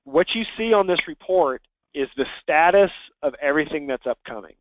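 A man leading a software training conference call, talking conversationally and instructively as he walks listeners through things. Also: a little high, animated, moderate pace, lo-fi sound, noisy background. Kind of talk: conversational screen-share walkthrough. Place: conference call with screen share.

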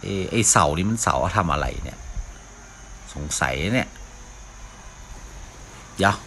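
A man hosts a gaming video with casual, off-the-cuff commentary. His voice is deep with a forceful, bright edge, and he mutters to himself as he plays. There is steady noise behind him. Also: unhurried. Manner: mouths sound effects, talks to himself while playing. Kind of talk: casual live gameplay commentary.

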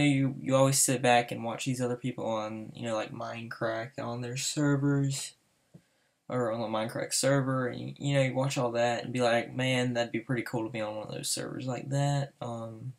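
Teen boy, Southern US accent, gravelly, hesitant, lots of ums. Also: mid-range voice, little pitch movement, normal speed. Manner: nervous, hesitant, many ums. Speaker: gamer applying to a server. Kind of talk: casual voice-over gameplay commentary.

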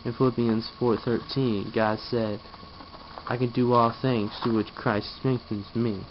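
A man's voice: medium pitch, little pitch movement, measured pace. A tired, yawning young man talking drowsily while lying in a small bedroom, then rapping verses into his webcam. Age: young adult. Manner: drowsy, mumbling, trailing off. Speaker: vlogger. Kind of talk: casual vlog with rapped verses. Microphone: webcam held close overhead. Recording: dull lo-fi webcam audio with noise.

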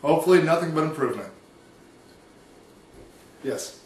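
A man playing a coach at a press conference, speaking haltingly with many pauses and ums. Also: on the high side, expressive, distant mic, a little hiss or hum. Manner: halting, hesitant, full of ums. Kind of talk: comic press conference skit. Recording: echoey room, heard from afar.

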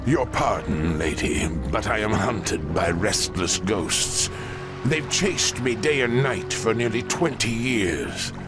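A man's voice: on the low side, expressive, over music. A man voicing a weary, haunted old orc warlock, telling his tale solemnly in a fantasy game. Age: older. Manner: solemn, slow storytelling. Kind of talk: dramatic fantasy game acting.

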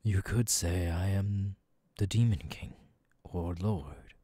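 Man acting as haughty demon king, very low husky voice, soft-spoken, menacing, condescending, ASMR roleplay. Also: steady tone, takes it slowly, dry recording, exaggerated voice. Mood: calm, threatening. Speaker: voice actor.

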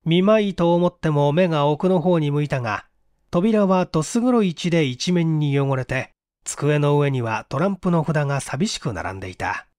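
Expressive, animated audiobook narrator. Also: mid-range voice, normal speed, no echo, studio quality. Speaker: audiobook narrator, stage performer. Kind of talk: dramatic literary story narration.

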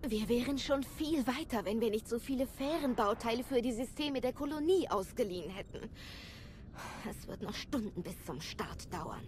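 A woman's voice: expressive, moderate pace, background noise, close to an everyday voice. A woman playing a harried young female Jedi in a German game dub. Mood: exasperated. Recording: rumbling game ambience behind voice.

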